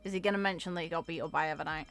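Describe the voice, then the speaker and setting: Lowish, bright-voiced woman reacting. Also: steady tone, racing, creaky, faint music behind. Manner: informal, short wry asides. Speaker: gamer reacting to character voicelines. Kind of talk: casual reaction commentary.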